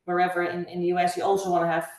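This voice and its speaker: A woman from the Netherlands with a Dutch accent, a guest answering interview questions in a measured, thoughtful way with frequent fillers. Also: on the low side, monotone, darkish tone. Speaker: guest, travel agency owner. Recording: echoey room, far from mic.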